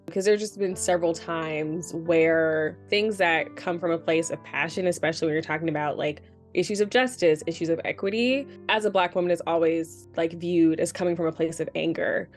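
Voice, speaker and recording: A woman's voice: on the low side, a little fast, few pauses, faint music behind. Reflective woman from Cleveland. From Cleveland, Ohio. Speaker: policy advocate.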